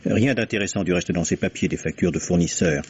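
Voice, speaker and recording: Actor as a deep-voiced, businesslike lawyer. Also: male voice, fairly quick, natural voice. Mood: matter-of-fact. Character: brisk, matter-of-fact male lawyer. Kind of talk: French radio mystery play acting. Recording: muffled old French radio, faint noise.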